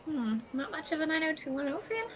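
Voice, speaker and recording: A woman playing a fed-up young TV actress in an audio comedy, breathy and melodramatic, with gushing phrasing and slightly dull sound. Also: sits high, expressive, a little fast, a little hiss or hum. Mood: coy, eager. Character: fed-up, foul-mouthed young TV actress, dramatic and desperate. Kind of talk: comedic audio play acting.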